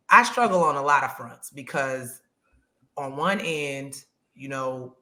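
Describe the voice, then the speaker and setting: A woman with a deep voice, co-hosting a casual podcast chat, speaking frankly and reflectively. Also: pushed and bright. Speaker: podcast co-host.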